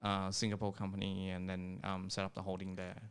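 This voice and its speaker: Man, thai accent with slight australian trace, gravelly, smooth resonant tone, hesitant fillers. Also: low-pitched, completely flat delivery. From Thailand. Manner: halting, many fillers and restarts. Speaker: startup CEO guest. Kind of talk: casual interview chat.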